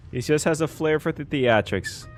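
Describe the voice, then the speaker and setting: A man giving casual, excitable reaction commentary on a game story, chatty and occasionally swearing. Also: a little high. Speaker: reaction streamer.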